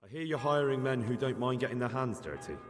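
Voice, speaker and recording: A man's voice: medium pitch, animated, fast, in a large hall, faint music behind, lightly acted. A man playing a plucky young man in a video game, plain-spoken and persistent. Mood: guarded, confident. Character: plucky young man posing as a would-be gang recruit. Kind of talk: dramatic video game cutscene acting.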